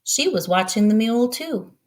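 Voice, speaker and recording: A woman narrating a children's storybook as a reader, in an expressive read-aloud style. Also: fairly high pitch, normal speed.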